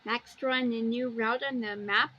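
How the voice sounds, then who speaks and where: A woman with a high-pitched voice hosts a homemade walkthrough in a casual way. She describes what she sees in plain terms and drifts into her own little asides. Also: steady tone, normal speed, highs cut off. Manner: plainly describes pictures, wandering into personal asides. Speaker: amateur video host.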